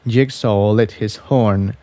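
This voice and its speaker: A man narrating a fan fiction story in a measured, scripted reading style. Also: on the low side, steady tone, warm tone, no echo.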